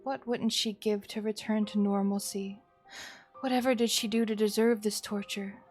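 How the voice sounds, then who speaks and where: A woman's voice: mid-range voice, little pitch movement, moderate pace, darkish tone. Woman narrating a visual novel over music. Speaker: streamer. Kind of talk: expressive read-aloud narration of a horror visual novel. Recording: music behind the voice.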